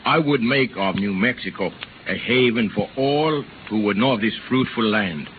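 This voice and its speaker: Guy as fatherly old-west bishop, lowish voice, solemn speechy delivery, thin hissy 1930s radio. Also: moderate pace, phone-quality sound, natural voice. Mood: solemn, resolute. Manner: solemn, oratorical. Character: devout, resolute, fatherly frontier bishop.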